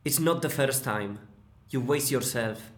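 Spanish-accented male reading an exasperated, lecturing husband; slow and reproachful, echoey room. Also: mid-range voice, lightly acted. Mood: scolding. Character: Jason, an exasperated husband scolding his wife. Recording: roomy echo.

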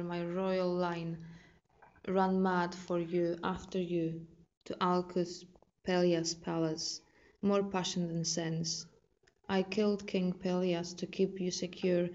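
A betrayed wife pours out sarcastic bitterness, voiced by a woman with a Polish accent. The delivery sits low and fairly flat with a mellow, dark tone. The recording is dull and lo-fi. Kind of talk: dramatic monologue recital.

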